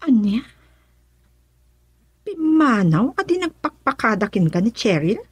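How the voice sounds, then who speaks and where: Young Ilocano female confidante for radio drama, very high voice, coaxing. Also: sing-song, unhurried, husky, no room sound, heavily acted. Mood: curious, surprised. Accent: Ilocano Filipino.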